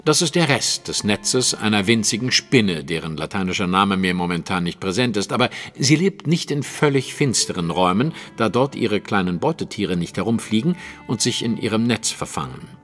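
An actor playing a shrewd, self-assured detective who explains things precisely in a German audio drama. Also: male voice, lowish voice, expressive, moderate pace, hardly any pauses, fairly bright tone, in a large hall, faint music behind. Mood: precise, lecturing. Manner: precise, lecturing, deductive.

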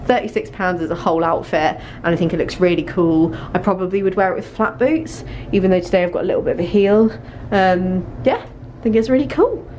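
A woman's voice: fairly low pitch, brisk, darkish tone, slight background noise. A young British woman chatting informally to camera as a fashion vlogger, repeating words for emphasis while trying on clothes. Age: young adult. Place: small clothing store fitting room. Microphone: handheld vlogging camera.

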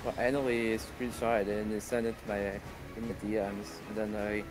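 A man in casual voice chat while gaming, explaining things in halting, rambling stretches. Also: on the low side, level tone, music in the background, rough recording.

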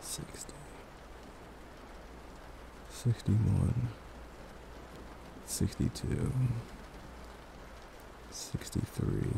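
A man speaking in a hushed, soothing, unhurried ASMR style as a sleep aid. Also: on the low side, gravelly. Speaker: ASMR roleplay performer. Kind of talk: ASMR, soft slow counting as a sleep aid. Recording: steady rain-like noise.

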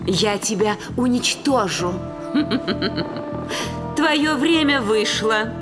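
Cartoon villain: vengeful she-cat schemer, high, very slow, gloating, over loud music. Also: animated. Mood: menacing. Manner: drawn-out, theatrical menace. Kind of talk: dubbed cartoon villain acting.

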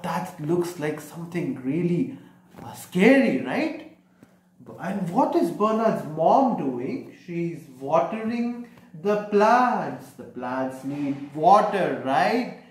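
Male storyteller, 30s, slow and simple delivery for kids, full of questions, roomy echo. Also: a little high. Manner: animated, questioning, explaining simply. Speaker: storyteller reading to children. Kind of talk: picture-book read-aloud with questions to children. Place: small bedroom, indoors. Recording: echoey room.

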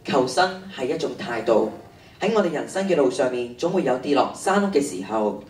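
A man's voice: medium pitch, lively intonation, brisk, lightly acted. A teenage boy with a Hong Kong Cantonese accent playing a troubled schoolboy hiker in a radio drama. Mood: reflective. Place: stage of a large hall. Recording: echoey stage, distant mic, faint noise.

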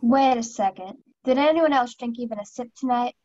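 A woman's voice: moderate pace, a little mellow, close to an everyday voice. A young woman playing a worried, thoughtful party guest in a radio play. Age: young adult. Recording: dull, muffled low-fidelity audio.

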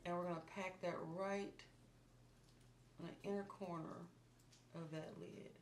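A slightly hoarse woman in her thirties walks viewers through a makeup tutorial in a calm, instructive voice that drops toward a murmur as she works. Her voice sits fairly low. The small room around her sounds echoey, with a steady hiss underneath. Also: unhurried. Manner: calm, instructive, softens to near murmur while working. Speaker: beauty vlogger. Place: small indoor room against a plain wall.

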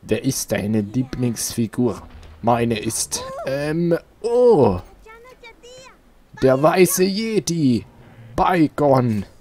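A man voicing an excitable video game festival-goer gushing over favourite puppet heroes, with drawn-out, emphatic delivery. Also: medium pitch.

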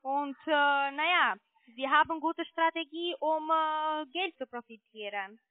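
A non-native German-speaking woman giving a hesitant sales pitch over the telephone as a call centre agent. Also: no room sound. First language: not German. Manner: hesitant, halting. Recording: muffled narrowband phone line.

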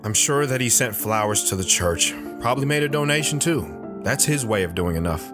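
A man's voice: mid-range voice, expressive, fairly quick, close to an everyday voice. A man playing a reflective, stoic young soldier and father in an audio drama, with an understated delivery. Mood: resigned. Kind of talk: audio drama first-person narration and dialogue. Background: guitar music.

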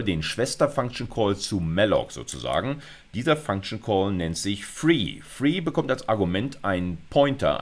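Low-voiced middle-aged man lecturing. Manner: conversational, often checks in with short tags. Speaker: university computer science lecturer. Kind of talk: explanatory video lecture.

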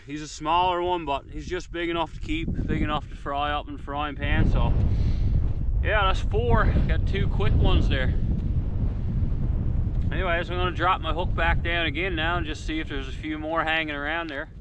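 A man in his thirties, casually narrating a winter fishing trip outdoors in a relaxed, chatty way. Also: fairly high pitch. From Newfoundland, Canada. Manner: relaxed, chatty, with frequent fillers and excited whoops. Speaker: outdoors vlogger. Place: outdoors on a frozen pond in winter. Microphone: camera-mounted mic from a distance. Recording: outdoor wind noise on a camera mic.

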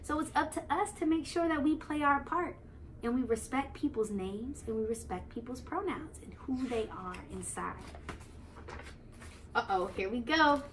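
A young American woman reading a picture book aloud to children, expressively, pausing to explain and ask the young listeners questions. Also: high voice, lively intonation, a little hiss or hum. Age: young adult. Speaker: children's read-aloud host. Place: living room, on a couch.

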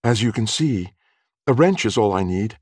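A man's voice: on the low side, animated, moderate pace, a little mellow, slightly rough, no room sound, clean studio sound, pitched above the performer's own voice. A man voicing a confident, hearty young woman with a rich contralto and a polite, breezy manner in an audiobook. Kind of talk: audiobook character voice.

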